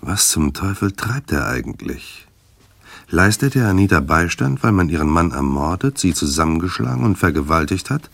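Detective drama narrator, bass-deep voice, sardonic, ironic, scripted storytelling, dry close studio sound. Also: male voice, very animated, moderate pace, gravelly, a bit bright, dry recording, full character voice. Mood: sardonic, probing.

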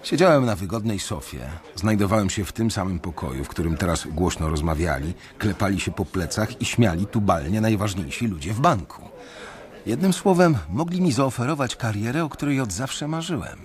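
A man's voice: fairly low pitch, lively intonation, a little fast. Brooding male narrator of a radio play. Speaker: narrator and lead character. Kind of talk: first-person radio drama narration.

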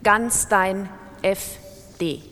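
An actress reading aloud the pleading, despairing letter of a penniless writer in a radio drama. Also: female voice, mid-range voice, drawn-out words, sharp tone, a little hiss or hum. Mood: despondent, tender. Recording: long reverberant hall sound.

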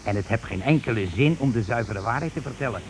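Actor as scheming husband on hissy old radio. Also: male voice, mid-range voice, animated, fast, close to an everyday voice. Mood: calculating, irritated. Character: scheming, irritable husband covering his tracks. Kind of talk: radio play acting.

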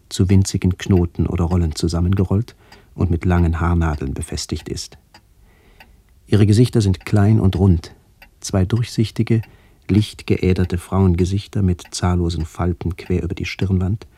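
A somber narrator of a literary radio play. His voice is deep and husky, recorded very dry and close. He describes things in a measured, solemn way, as if recalling family. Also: fairly even intonation, warm tone, dry recording. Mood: somber, contemplative. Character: somber male first-person narrator remembering his aged aunts.

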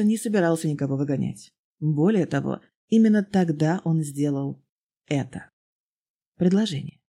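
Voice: medium pitch, warm tone, breathy edge. A narrator reading a story from a script, as in an audiobook.

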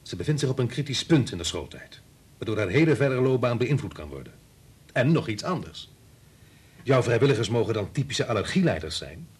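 An actor in a Dutch radio play playing a domineering scientist, insistent, coolly persuasive and condescending. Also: male voice, mid-range voice, big pitch swings, brisk, slightly rough.

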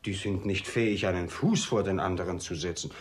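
An actor playing a blunt, soft-hearted, cheeky servant in a radio comedy, plain-spoken and theatrical, easily turning plaintive. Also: male voice, low-pitched, a little fast, close to an everyday voice. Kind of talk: German radio play comedy acting.

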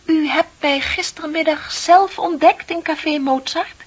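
An actress playing a bitter, lonely middle-aged office worker in a radio play, confiding and sardonic with dramatic emphasis. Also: female voice, high voice, measured pace. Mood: accusing, insistent. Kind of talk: Dutch radio play acting. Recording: dull, hissy old radio recording.

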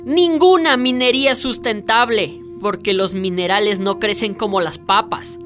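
A woman's voice: medium pitch, normal speed, music in the background, lightly acted. An actress playing an outspoken woman activist who speaks indignantly in a radio drama. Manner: indignant, emphatic.

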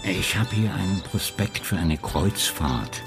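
Ein Schauspieler spricht in einem Hörspiel einen gebrechlichen, selbstsicheren alten Polizeichef im Ruhestand, der bedächtig argumentiert. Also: male voice, low-pitched, animated, a little slow, vocal fry, music in the background, exaggerated voice. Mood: persuasive. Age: older. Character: frail, self-assured old retired Dutch police chief. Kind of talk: radio play acting.

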